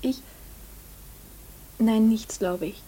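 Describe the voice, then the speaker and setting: Soft, mellow, entranced young farm daughter. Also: female voice, fairly high pitch, drawn-out words, breathy edge, heavily acted, poor audio. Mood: entranced, timid. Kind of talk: German radio play acting.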